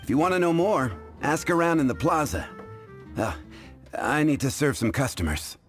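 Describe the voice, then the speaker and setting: A calm, wry bartender. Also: male voice, a little high. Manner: wry, measured, chuckling. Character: calm, dry male tavern bartender. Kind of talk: game cutscene voice acting. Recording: game audio with faint music.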